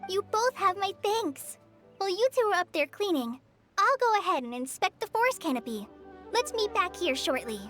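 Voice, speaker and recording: A woman's voice: very high voice, big pitch swings, normal speed, fairly bright tone, faint music behind, heavily acted. A woman voicing a shy, earnest teenage girl ranger in an anime-style video game, speaking politely with a hesitant, apologetic manner. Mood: friendly, polite.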